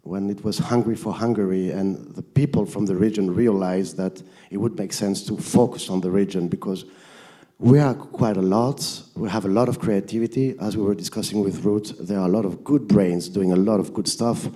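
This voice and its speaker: A man's voice: fairly low pitch, fairly even intonation, normal speed, mellow. A man from the Mediterranean speaking informally and discursively as a panelist. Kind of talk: informal spoken self-introduction.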